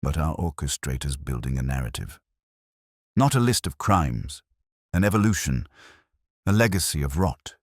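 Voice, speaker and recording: A man's voice: very deep voice, sing-song, normal speed, long pauses, no room sound, clean studio sound, heavily acted. A man voicing a composed, grave and shrewd police inspector in a mystery story. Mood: quietly grave.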